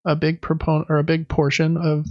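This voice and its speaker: A man's voice: on the high side, monotone, a little fast, no room sound, muffled recording. A man co-hosting a podcast, talking through gaming news in a casual, conversational way. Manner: conversational, loosely reading through news items. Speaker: podcast co-host.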